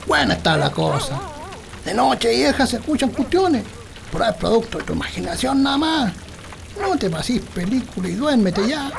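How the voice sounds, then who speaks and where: Man acting a creaky, grumpy Chilean old husband. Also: very high-pitched, sing-song, moderate pace, exaggerated voice. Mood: sleepy, irritated. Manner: grumbling, colloquial. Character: grumpy, stubborn, sleepy old country husband. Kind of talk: comic radio drama acting.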